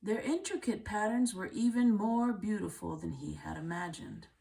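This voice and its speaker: A woman reading a children's book aloud in a measured way, with deliberate phrasing and pauses. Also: medium pitch, lively intonation, creaky, mellow, poor audio. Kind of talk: read-aloud storybook narration.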